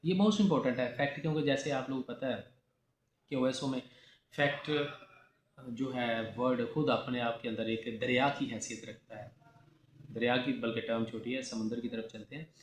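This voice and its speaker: A man's voice: medium pitch, normal speed. A man from Pakistan with a Pakistani accent, giving a didactic law lecture, repeating key definitions and posing rhetorical questions. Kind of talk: classroom lecture mixing Urdu with English terms. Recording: echoey room with faint background noise.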